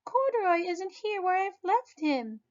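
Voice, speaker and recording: A woman voicing an eager little girl in a storybook, speaking high and excited. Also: unhurried, dull audio, heavily acted.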